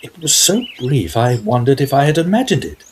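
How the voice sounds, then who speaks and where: A man playing an earnest gentleman ghost hunter who narrates a period Gothic audio drama in formal, old-fashioned phrases. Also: medium pitch, very animated, moderate pace, natural voice. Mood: suspenseful.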